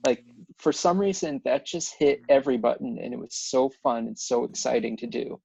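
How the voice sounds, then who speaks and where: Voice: mid-range voice, normal speed, creaky, mellow, husky, no echo. A person chatting casually as a cast member on a video call. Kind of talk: casual chat. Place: online group video call. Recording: dull lo-fi video call audio.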